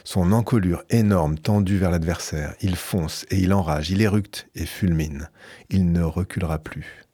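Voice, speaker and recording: Deep dark voiced radio guy reading a book out loud, measured and emphatic, dry close studio sound. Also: husky, no echo. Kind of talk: reading a book aloud, then a book review.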